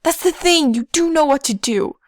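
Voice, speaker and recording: High-voiced, insistent boy woman acting. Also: moderate pace, no echo, close to an everyday voice. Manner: earnest, pressing. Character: earnest, insistent young boy, hero's urging friend. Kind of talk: audio drama acting.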